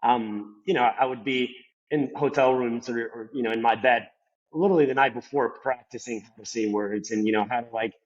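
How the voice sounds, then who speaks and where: A young man from Colombia talks quickly, his Colombian accent showing through a native Spanish speaker's English. He rambles casually, stacking fillers, at a mid-range pitch. The recording sounds slightly dull and lo-fi. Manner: rambling, heavy on "like" and "you know" fillers. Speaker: podcast guest. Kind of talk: casual interview talk.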